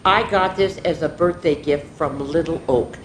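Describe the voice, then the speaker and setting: A woman hosting a children's story time, reading a picture book expressively, drawing out words and adding casual asides. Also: moderate pace. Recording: echoey room with faint rumbling noise.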